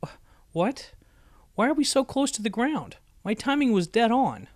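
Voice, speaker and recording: A man's voice: very high-pitched, very animated, moderate pace, dry recording, clean studio sound, pitched above the performer's own voice. A man voicing a brash but easily sheepish young female pegasus pony in an audiobook, with a stammering, eager manner. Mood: indignant. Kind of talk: acted audiobook dialogue.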